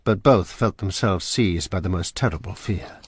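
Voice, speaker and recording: A man narrating a radio drama in a measured, grave manner. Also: deep voice, slightly rough. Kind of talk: scripted narration.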